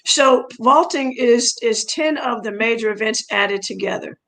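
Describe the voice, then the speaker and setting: A woman's voice: fairly high pitch, a little slow, fairly bright tone, rough recording. A middle-aged woman whose first language is French, a track coach telling her story conversationally as an interview guest in a chatty, rambling way that repeats phrases for emphasis.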